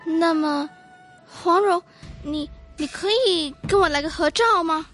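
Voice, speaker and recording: A woman's voice: very high voice, unhurried, a bit bright, faint music behind. A woman acting a naive, eager schoolgirl in a radio drama, hesitant and exclaiming as she goes. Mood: grateful, eager. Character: naive schoolgirl martial arts fan, eager, easily flustered.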